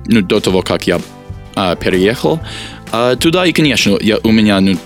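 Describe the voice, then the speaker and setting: Hesitant American man, low voice. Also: animated, moderate pace, soft background music. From United States. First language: English. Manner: hesitant, many fillers, searches for words. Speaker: guest, teacher. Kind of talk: casual interview answers.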